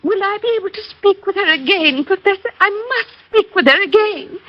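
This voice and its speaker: An actress playing a gullible, excitable wealthy matron with a fluttery, genteel manner in 1940s style radio drama. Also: female voice, extremely high pitch, fairly quick. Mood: excited, anxious. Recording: dull old radio recording.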